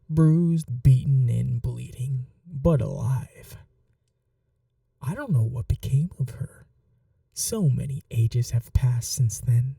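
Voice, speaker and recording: A man playing a possessive, tender yet cruel ageless vampire lover in an intimate ASMR roleplay, soft and breathy, often slipping into whispers, slow and coaxing. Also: mid-range voice, no echo, clean studio sound, distant mic, exaggerated voice. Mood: wistful, menacing.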